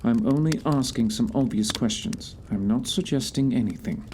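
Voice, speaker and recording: A man acting a composed Victorian detective in an audio play, questioning in a measured, formal and blunt way. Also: medium pitch, animated, lightly acted. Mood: probing, composed. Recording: faint background noise.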